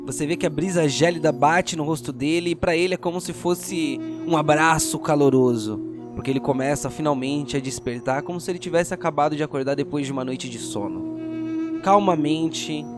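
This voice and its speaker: A man with a Brazilian accent hosting and narrating a tabletop RPG audio drama as game master, in a theatrical, deliberate storyteller's manner. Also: medium pitch. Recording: music playing behind the voice. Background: music.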